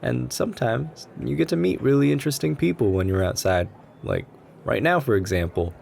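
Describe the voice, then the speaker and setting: A man playing a shy, sweet, nervous writer chatting to a stranger in a café, in a gentle, rambling ASMR roleplay style. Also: mid-range voice, animated, moderate pace, gravelly. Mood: chatty, warm. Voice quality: gentle, soft-spoken. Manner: anxious, rambling, earnest. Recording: noticeable coffee shop ambience noise. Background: coffee shop ambience.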